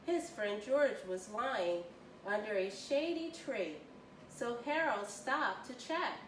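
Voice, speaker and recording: A woman reading a children's story aloud with expressive, deliberate storytelling. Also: a little high. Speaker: volunteer children's story reader. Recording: roomy, distant mic, faint noise.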